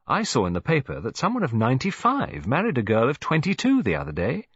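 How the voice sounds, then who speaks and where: A man voicing an eager, excitable young schoolboy, on a dull, dry recording. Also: medium pitch, quick pace, husky, no room sound, pitched above the performer's own voice.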